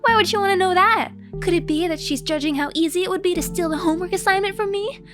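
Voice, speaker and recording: High-pitched woman, theatrical comic storyteller. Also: fast talker, music in the background. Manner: theatrical, mock-heroic. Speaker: storyteller narrating own comic diary. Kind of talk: dramatic comedic scripted narration.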